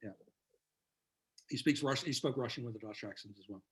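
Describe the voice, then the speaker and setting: A man's voice: mid-range voice, brisk. A male author giving a talk about his book in an anecdotal, explanatory style. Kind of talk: presenting to an audience, anecdotal.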